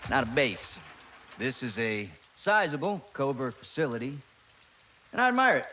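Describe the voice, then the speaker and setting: A man's voice: medium pitch, sing-song, normal speed, bright, forceful tone, slight background noise. A man playing a menacing, smug private military commander in a game, coolly threatening. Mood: smug, commanding. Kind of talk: video game drama acting.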